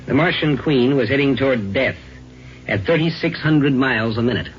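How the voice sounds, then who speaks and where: Male narrator, medium pitch, deliberate dramatic delivery, dull vintage radio recording, music behind. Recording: muffled 1950s radio recording.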